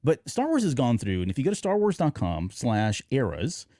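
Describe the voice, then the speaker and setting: Mellow, lively male podcast host. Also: medium pitch, moderate pace, dry recording, clean studio sound. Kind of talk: casual podcast chat.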